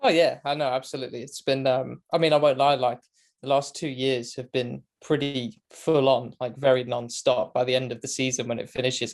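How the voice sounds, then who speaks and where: A man's voice: medium pitch, darkish tone. A British man in his twenties chatting casually as an interview guest, talkative and self-deprecating. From Great Britain. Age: 20s. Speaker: guest, motorcycle racing commentator. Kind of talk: casual chat.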